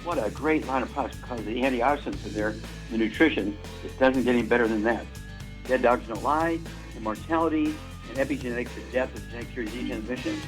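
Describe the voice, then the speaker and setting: A man in his seventies hosting a radio show, rambling through a supplement pitch and correcting himself as he goes. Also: fast talker, faint music behind. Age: 70s. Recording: muffled radio broadcast.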